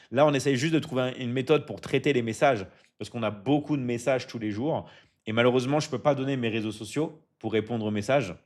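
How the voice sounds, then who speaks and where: Hyping coach man on live stream. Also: medium pitch. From France. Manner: repetitive, hyping, filler-heavy, keeps checking in with viewers. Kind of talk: casual live stream talk to viewers.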